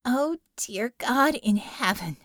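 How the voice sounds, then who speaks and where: A woman's voice: on the high side, expressive, slow, a bit bright, dry recording, studio quality. A woman voicing a female field operative making clipped comms calls in an audiobook. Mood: exhausted.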